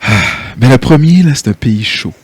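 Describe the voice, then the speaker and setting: A man's voice: medium pitch, expressive, moderate pace, darkish tone, breathy edge. A man from Quebec with a Québécois accent, speaking as an interview guest, hesitant with many fillers and easy laughs. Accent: Québécois French. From Quebec, Canada. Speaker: guest, nonprofit CEO. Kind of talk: casual interview chat.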